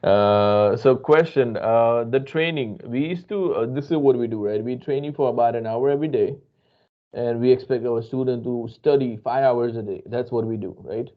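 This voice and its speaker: A man's voice: medium pitch, normal speed. A man interviewing a former student for his training school, upbeat and repeating "perfect" and "right?" often. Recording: tinny phone-like line.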